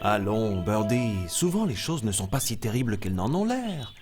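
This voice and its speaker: A man's voice: on the low side, very animated, moderate pace, over loud music. A man playing a kindly, reassuring adult jungle animal who teaches and tells stories in a children's cartoon. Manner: patient, encouraging, storytelling.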